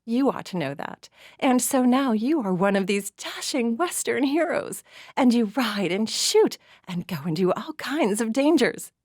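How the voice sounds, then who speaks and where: A poised young society girl with a full, sweet voice, lifted a little higher and brighter, every word careful and cultured, as a female storyteller puts her on. She speaks from a close, dry storybook recording with no room sound. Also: expressive, no room sound. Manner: sweet, deliberate, cultured speech. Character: poised young society woman, refined and gracious.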